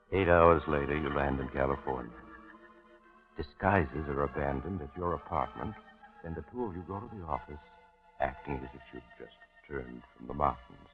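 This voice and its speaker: Sinister radio mystery narrator, American, extremely low voice, thin vintage recording with music. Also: male voice. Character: ominous male narrator addressing the listener. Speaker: host and narrator. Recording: muffled, phone-like 1950s radio recording.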